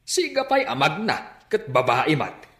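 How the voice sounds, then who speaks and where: A man's voice: a little high, sing-song, a little slow, fairly bright tone, roomy sound. A man playing an easygoing, curious father in a Filipino radio drama, conversational and questioning. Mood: curious, amused. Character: easygoing, curious father chatting about his child.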